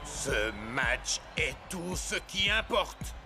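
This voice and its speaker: A stern, menacing cartoon team boss. The voice is gravelly and drags very slowly, with music behind. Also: male voice, fairly high pitch, expressive, crowd noise, full character voice.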